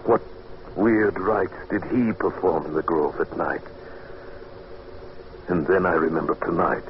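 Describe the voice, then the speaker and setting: Deep-voiced, brooding old radio drama narrator. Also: male voice. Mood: dawning dread. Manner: measured, dramatic, musing delivery. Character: male narrator Buchan, curious, uneasy house guest. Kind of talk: old time radio drama acting, first-person narration. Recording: dull, noisy old radio recording.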